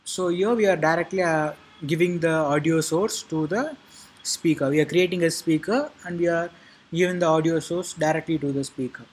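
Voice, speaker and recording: A man narrating an informal software tutorial in a halting, repetitive way, with a husky voice. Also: fairly high pitch, rough recording. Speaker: tutorial presenter.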